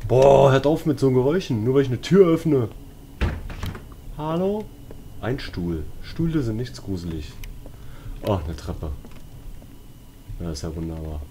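A man's voice: medium pitch, expressive, unhurried, long pauses, mellow, a little hiss or hum. A man with a German accent giving casual, jumpy commentary on a horror game, often talking to himself and exclaiming. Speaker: let's player. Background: game music and sounds.

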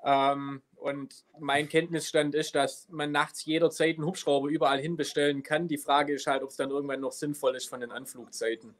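A man's voice: fairly high pitch, flat delivery, moderate pace, hardly any pauses. A man in his thirties talking casually on a live video call as a helicopter rescue crew member. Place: office at an air rescue station.

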